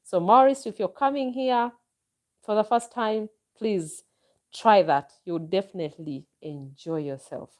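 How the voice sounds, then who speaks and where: A woman's voice: mid-range voice, a little slow, a little mellow. A Ugandan woman vlogger chatting casually and enthusiastically as she answers viewer comments, with frequent exclamations. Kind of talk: casual live chat.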